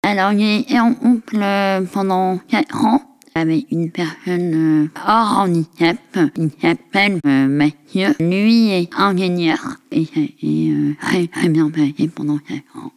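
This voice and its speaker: Woman, thirties, smooth resonant voice, frank humorous storytelling, many pauses. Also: fairly low pitch. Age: 30s. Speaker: podcast guest. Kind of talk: casual conversation.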